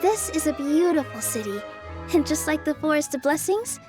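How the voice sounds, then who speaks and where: A woman voicing a gentle, kind young woman in a video game, speaking politely and earnestly. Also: sits high, animated, normal speed, over music. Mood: warm, willing.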